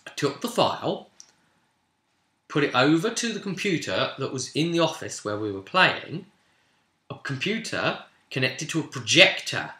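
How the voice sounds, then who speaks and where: A man hosting a role-playing vidcast as game master, casually recapping a tabletop session in an animated storytelling way with sudden emphatic swells. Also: fairly high pitch. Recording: roomy, echoing room sound.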